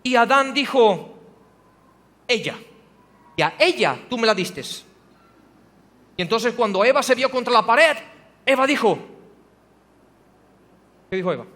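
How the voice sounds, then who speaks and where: A man preaching from the pulpit, his voice sitting strikingly high as he presses the congregation with question after question. His words ring out into a church hall with a long reverb trailing behind each phrase. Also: animated, a little slow, long pauses, bright, forceful tone. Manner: preaching with rhetorical questions, rising to emphatic passages. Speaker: pastor. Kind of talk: sermon.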